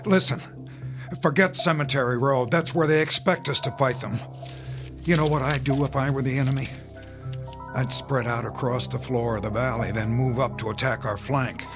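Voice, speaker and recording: A man acts out a conscientious army captain in a radio drama. He is weary yet intense, speaking with brooding authority in a mid-pitched voice. Dramatic music plays clearly beneath him. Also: normal speed, lightly acted. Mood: urgent, fervent. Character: weary, conscientious army captain commanding a front-line outpost. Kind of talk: modern radio drama acting.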